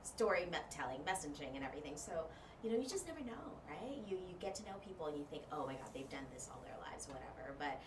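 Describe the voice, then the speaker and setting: A low-pitched woman from Thailand, Thai her first language, talks as an interview guest. She is chatty and animated, with plenty of "you know". The mic sits a bit far off in an echoey room with steady background noise. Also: fast talker. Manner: animated, chatty, many fillers. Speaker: guest, journalist and media trainer. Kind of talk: casual interview. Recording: roomy, distant mic, noisy.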